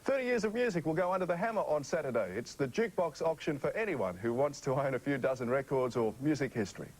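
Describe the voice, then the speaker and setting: A man's voice: a little high, expressive, fast talker. A man with an Australian accent presenting on television and chatting lightly with his co-host.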